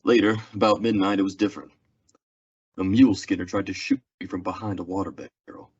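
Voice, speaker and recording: A man's voice: low voice, fairly even intonation, a little fast, darkish tone, slightly rough. A young man acting a weary, plainspoken Old West marshal in a radio Western drama. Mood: grim narration. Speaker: actor in a school radio theatre production. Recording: dull lo-fi radio-play recording.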